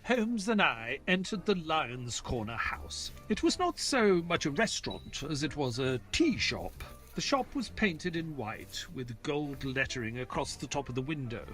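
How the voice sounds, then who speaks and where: A man's voice: a little high, sing-song, a bit bright, faint music behind. An actor playing an educated, polite male doctor who narrates a detective tale in descriptive, scripted prose. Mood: measured, sombre. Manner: measured, descriptive storytelling, courteous in dialogue. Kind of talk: scripted narration and audio drama acting.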